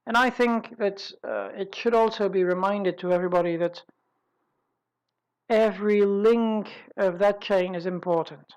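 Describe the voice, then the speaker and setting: Female webinar presenter, medium-pitched voice, informal and explanatory, deliberate with pauses and rephrasing. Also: fairly even intonation, darkish tone, smooth, muffled recording.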